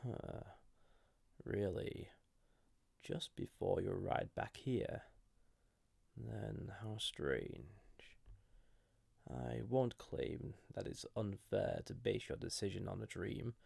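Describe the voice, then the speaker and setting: A man playing a banished ancient villain in an audio roleplay, flirty and wistful, speaking softly and deliberately in a husky, breathy voice. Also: fairly low pitch, lively intonation, dry recording, exaggerated voice. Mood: curious, guarded. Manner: hesitant, softly intimate, deliberate. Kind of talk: scripted audio roleplay addressed to listener.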